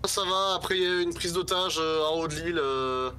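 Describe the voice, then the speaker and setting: A man's voice: fairly high pitch, bright, forceful tone. A man playing a caring, busy relative in a game roleplay, talking casually with some hesitation. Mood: concerned, informal. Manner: casual, hesitant, quick bursts.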